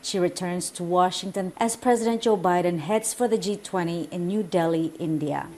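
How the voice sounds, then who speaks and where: A woman news anchor giving steady scripted narration. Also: on the low side, moderate pace, over music.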